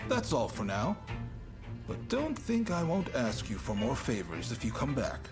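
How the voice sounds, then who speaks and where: A man's voice: mid-range voice, huge pitch swings, a little slow, over music. A man playing an affable, commanding shogunate military officer in a video game cutscene, hearty and persuasive. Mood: businesslike.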